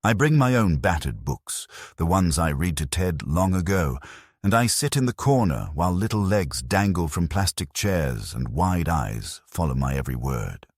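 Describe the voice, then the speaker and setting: An older man narrating a first-person story in a slow, measured and reflective way. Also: deep voice, sing-song, breathy edge, no echo, clean studio sound.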